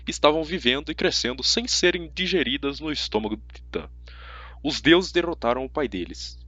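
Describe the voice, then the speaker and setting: A man voicing a stern, measured male teacher in an audiobook, formal and deliberate. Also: fairly low pitch, fairly bright tone, slightly rough, no room sound, dull audio. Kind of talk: audiobook character voice.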